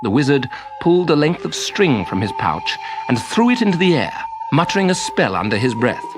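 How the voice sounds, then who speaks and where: Animated male storyteller. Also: a little high, moderate pace, over music, low-quality recording. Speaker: story narrator. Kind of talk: expressive children's storybook narration.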